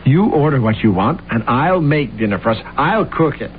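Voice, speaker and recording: A man's voice: mid-range voice, big pitch swings, moderate pace, natural voice. A man playing a brash, self-deluded young husband. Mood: breezy. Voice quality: brash and blustering. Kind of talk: 1970s radio drama acting. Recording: tinny old radio broadcast with faint hiss.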